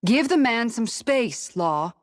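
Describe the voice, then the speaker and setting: A protective young woman in a fantasy game. Her voice sits slightly high, teasing and kind, with a dry studio sound. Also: very animated, takes it slowly, no echo. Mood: gently scolding. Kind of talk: anime-style video game voice acting.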